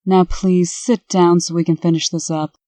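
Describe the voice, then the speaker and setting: A woman's voice: fairly low pitch, little pitch movement, normal speed, dark tone, dry recording, close to an everyday voice. A woman playing a stern, bossy, no-nonsense administrator in a comic dub, curt and commanding. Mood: stern, brisk. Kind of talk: amateur comic dub acting.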